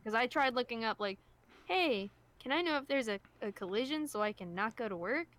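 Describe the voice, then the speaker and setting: A woman's voice: a little high, expressive, a little fast, fairly bright tone. A woman chatting casually on a group livestream, with a blunt, jokey way of talking. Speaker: co-creator on a web series discussion stream.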